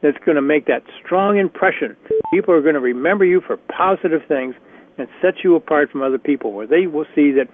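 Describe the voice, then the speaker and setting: A man with a slightly raspy voice leading a career workshop on a conference call, chatty and encouraging with frequent restarts. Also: on the high side, fairly quick, slight background noise. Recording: tinny phone line.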